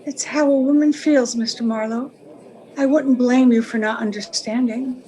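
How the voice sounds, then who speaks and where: An actress playing a sentimental, lovelorn married woman in old-time radio melodrama, speaking earnestly in halting phrases. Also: a little high, fairly even intonation, mellow, lightly acted. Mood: wistful, troubled.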